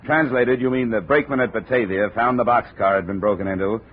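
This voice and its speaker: An actor playing a wry, matter-of-fact insurance investigator who narrates and questions people in a 1950s radio drama. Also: male voice, fairly low pitch, quick pace, slight background noise, natural voice. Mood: questioning. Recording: dull old radio transcription.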